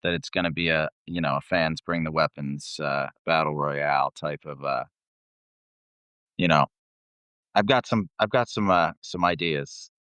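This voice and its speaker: Man, deep voice, dry close sound, band singer as guest, laid-back, swearing, casual storytelling. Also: a little slow, pushed and bright, clear, resonant tone, no echo. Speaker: band vocalist, interview guest. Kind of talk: casual chat, telling tour stories.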